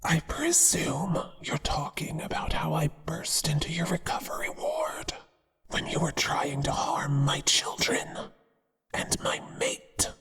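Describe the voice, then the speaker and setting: A whispering, very breathy male spider monster acted out by a performer for horror audio roleplay. He is possessive and obsessive, with a menacing tenderness, drawing out his words very slowly in long reverb. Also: medium pitch, wildly swooping pitch, fairly bright tone, heavily acted. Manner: slow, deliberate, menacingly affectionate.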